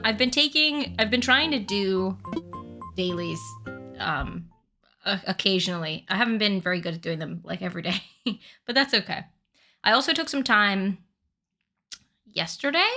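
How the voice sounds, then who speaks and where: A woman's voice: mid-range voice, lively intonation, normal speed, long pauses. A young woman streaming from her room with a mild cold, chatting casually and scatterbrained while she plays and answers chat. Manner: rambling, scatterbrained, lots of ums and restarts; soft laughs, reads and answers chat. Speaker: livestreamer. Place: room at home with the window open. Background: game music.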